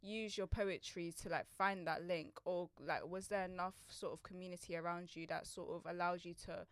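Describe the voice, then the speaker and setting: A woman's voice: fairly low pitch, steady tone, moderate pace, no room sound. A woman hosting a podcast interview, asking rambling questions with supportive fillers. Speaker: podcast host. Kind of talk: casual interview chat.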